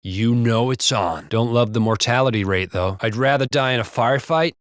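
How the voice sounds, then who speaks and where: A man playing a gruff, profane American police officer in a video game, with blunt, colloquial delivery. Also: medium pitch, moderate pace, a bit bright, dry recording, studio quality, natural voice. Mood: casual banter.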